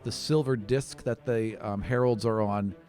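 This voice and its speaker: A man's voice: on the low side, steady tone, unhurried, a little mellow. A man narrating a tabletop role-playing game as game master, describing scenes in an improvised, conversational way. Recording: music playing behind the voice. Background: background music.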